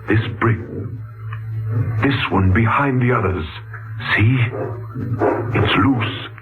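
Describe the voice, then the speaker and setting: An actor playing a solemn, bewildered old patriarch back from the dead, halting and dramatic in 1940s radio style. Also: male voice, medium pitch, lightly acted. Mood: urgent recollection. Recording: tinny 1940s radio recording, faint music.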